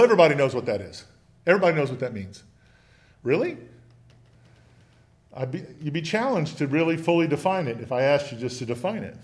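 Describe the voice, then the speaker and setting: A man preaching a sermon in a church, folksy and conversational, slowing down to read scripture with emphasis. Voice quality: big booming voice. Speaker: preacher teaching a church lesson. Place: church sanctuary.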